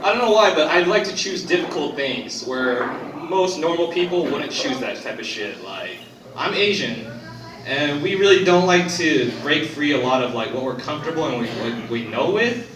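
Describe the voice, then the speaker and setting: A man's voice: fairly high pitch, expressive, moderate pace. A young man from Korea performing a first-time stand-up set, talking loosely with lots of fillers and swearing. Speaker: stand-up comedian. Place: comedy club stage. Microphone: phone recording from the audience. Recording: roomy, distant phone recording with crowd. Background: audience laughter.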